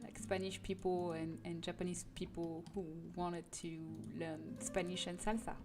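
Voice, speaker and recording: A woman's voice: lowish voice, little pitch movement, normal speed, over music. A woman whose first language is Portuguese, chatting casually on a livestream as she works, thinking aloud and correcting herself as she goes. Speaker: streamer making game content. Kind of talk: casual livestream chat while working.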